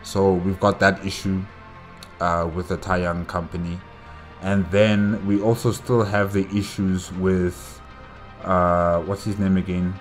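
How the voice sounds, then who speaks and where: A man with a very low voice hosts a reaction video at a slow pace. He recaps and comments casually, pausing as he thinks aloud, with music behind him. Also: level tone.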